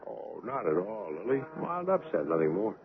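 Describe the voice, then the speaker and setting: An actor playing a calm, reassuring ship's doctor in an old-time radio drama, speaking in a measured, courteous way. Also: male voice, fairly low pitch, gravelly. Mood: dismissive, calm. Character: calm, reassuring male ship's doctor recounting his story. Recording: tinny old radio broadcast, faint noise.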